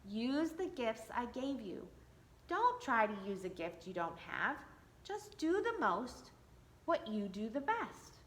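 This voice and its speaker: A woman doing the kindly wooden-people maker, calm and patient. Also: a little high, slow pace. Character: gentle wise male woodcarver maker.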